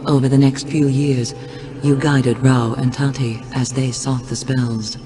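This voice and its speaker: An actor playing a grave, measured storyteller narrating an ancient fantasy saga for a video game cutscene. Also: male voice, mid-range voice, flat delivery, big-room sound, close to an everyday voice. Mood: solemn.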